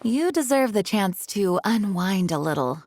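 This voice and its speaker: A woman's voice: mid-range voice, very animated, measured pace, darkish tone, a little hiss or hum. An actress voicing a courteous, composed female knight leader in a video game. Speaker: acting grandmaster.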